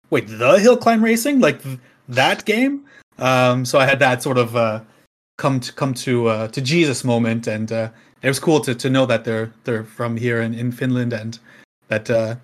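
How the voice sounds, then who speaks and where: A Canadian man in casual podcast conversation, voice sitting in an ordinary middle pitch. He rambles easily, fills gaps with ums and chuckles along the way. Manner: easygoing, chatty, laughs often, many fillers. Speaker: game company community staff guest.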